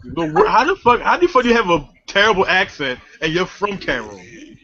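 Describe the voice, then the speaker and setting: A man's voice: high-pitched, very animated, fairly quick, bright, forceful tone. A man co-hosting a podcast with casual, profane banter. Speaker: podcast co-host. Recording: dull lo-fi audio.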